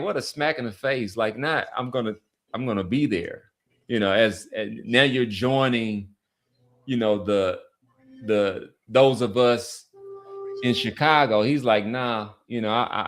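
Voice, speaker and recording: A man speaks in a mid-pitched voice as a sports podcast co-host. His delivery is casual and conversational, built around making an argument in a back-and-forth debate. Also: measured pace, fairly bright tone.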